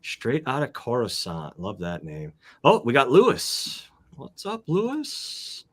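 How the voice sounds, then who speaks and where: A man hosts a casual livestream in a friendly, rambling way, greeting guests and reading names out loud. His voice sits at an ordinary middle pitch, and he speaks over a video call. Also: wildly swooping pitch, slow, breathy edge. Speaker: host.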